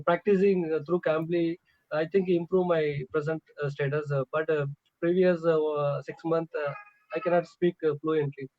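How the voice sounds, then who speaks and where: A man in his thirties with a Tamil accent, a native Tamil speaker, practising English with a tutor on a video call, hesitant and polite with many fillers. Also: on the high side. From Tamil Nadu, India. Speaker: English learner. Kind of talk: online tutoring conversation. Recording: muffled lo-fi call audio.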